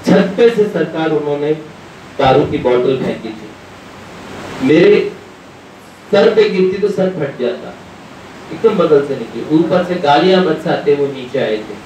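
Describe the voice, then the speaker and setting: Higher-voiced man preaching in an echoey room. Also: expressive, a little slow, pauses often, a little mellow. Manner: conversational, rambling storyteller, often quoting dialogue. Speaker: spiritual teacher addressing devotees. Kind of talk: informal devotional discourse with anecdotes. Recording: very echoey room, distant mic, rumbling noise.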